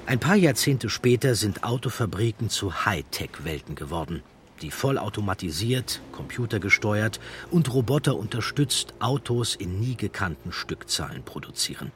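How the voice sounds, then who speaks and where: A man reading scripted documentary narration in a measured, deliberate way. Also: on the low side, sing-song, a little hiss or hum.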